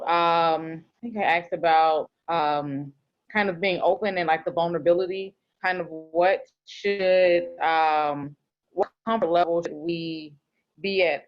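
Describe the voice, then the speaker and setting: Hesitant woman with a lowish voice, muffled dull zoom audio. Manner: hesitant, full of fillers. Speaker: audience member asking a question. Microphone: video call.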